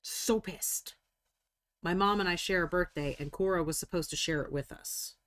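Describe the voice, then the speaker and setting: A woman in her forties chatting casually while painting miniatures on a live stream, talkative, joking about herself and quick to apologize. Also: fairly low pitch, fairly bright tone. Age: 40s.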